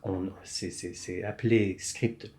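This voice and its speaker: Low-voiced man, halting and thoughtful. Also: steady tone, mellow. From Canada, Montreal. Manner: thoughtful, halting, many restarts and fillers. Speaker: podcast guest, script supervisor. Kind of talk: interview conversation.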